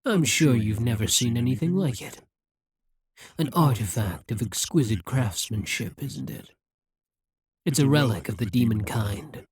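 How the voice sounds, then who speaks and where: An actor voicing a scheming, domineering demon monarch in a dramatic ASMR roleplay, speaking in a menacing, deliberate, taunting way. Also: medium pitch, animated, a little mellow, breathy edge, dry recording. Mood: smug, sinister. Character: domineering demon monarch, scheming, smug, coldly seductive captor.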